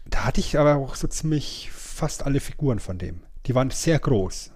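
A man's voice: mid-range voice, lively intonation, normal speed, a little mellow, breathy edge. A middle-aged man chatting casually as a podcast co-host. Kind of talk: casual chat, ranking old cartoons.

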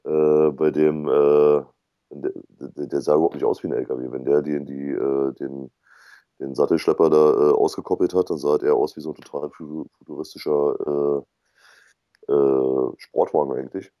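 A man's voice: rumbling low, fairly even intonation, moderate pace, dry recording, muffled recording. A man with a German accent chatting casually as a podcast co-host. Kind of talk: casual nostalgic podcast chat.

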